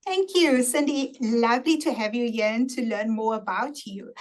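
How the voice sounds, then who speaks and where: A woman hosts a university webinar over a video call, her voice on the higher side. She sounds polite and gracious, thanking each speaker before asking a prepared question. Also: moderate pace. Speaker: webinar moderator.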